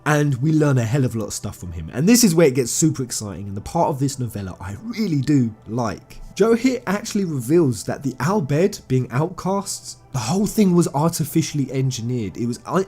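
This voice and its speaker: A man's voice: medium pitch, sing-song, brisk, dark tone, breathy edge. A man speaking informally and enthusiastically as a video game commentator, stressing and repeating phrases as he retells a story to viewers. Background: background music.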